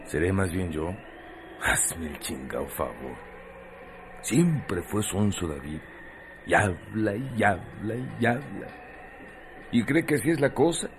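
A man's voice: low voice, big pitch swings, slow pace, pauses often, exaggerated voice. An actor playing a sardonic, scornful man who grumbles colloquially with a Mexican accent in a radio drama. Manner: sarcastic, colloquial, repetitive. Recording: noisy radio drama recording with ambience.

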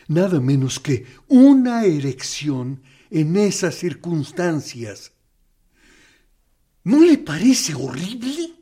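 A tormented torturer captain. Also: male voice, fairly high pitch, breathy edge, heavily acted. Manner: anguished, swinging from hushed to loud. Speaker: actor. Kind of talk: radio drama acting.

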